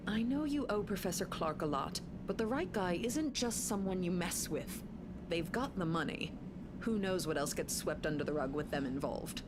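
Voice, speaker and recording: Woman doing blunt tired cop in a video game, lowish voice, noisy ambience behind. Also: animated, moderate pace, lightly acted. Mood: cautioning. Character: blunt, world-weary police detective, cautious and pragmatic. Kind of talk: acted game dialogue. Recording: clear background ambience noise.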